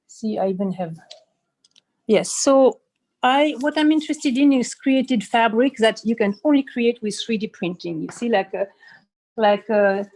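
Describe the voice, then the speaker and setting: A woman's voice: a little high, a little slow, darkish tone, rough recording. A woman from Switzerland gives an informal explanatory studio tour as an artist and costume designer, often searching for words and adding "you know".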